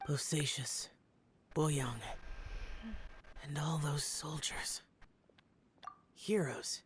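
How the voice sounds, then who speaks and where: A woman voicing a stoic, mournful young male immortal guardian in a video game, speaking in a solemn, measured, restrained way. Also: low-pitched, fairly even intonation, a little hiss or hum. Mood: mournful, reflective. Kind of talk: video game cutscene acting.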